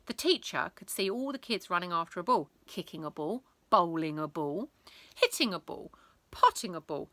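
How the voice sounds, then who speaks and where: A woman reading a children's bedtime story aloud in a theatrical, expressive way, lingering on words. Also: lowish voice, normal speed, no echo.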